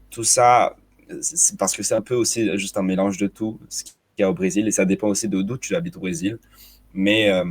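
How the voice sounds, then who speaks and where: Guest, young male, light unplaceable accent in French, lowish pitch, hesitant with many restarts. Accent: slight hard-to-place foreign accent in French. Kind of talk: casual discussion.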